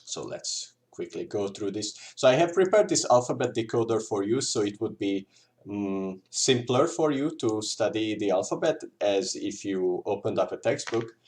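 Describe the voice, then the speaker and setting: A man's voice: lowish voice, animated, moderate pace, a little mellow. A man with a Hungarian accent, a native Hungarian speaker, teaching an informal language lesson in a hesitant, explanatory way with repeated examples. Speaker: language tutor.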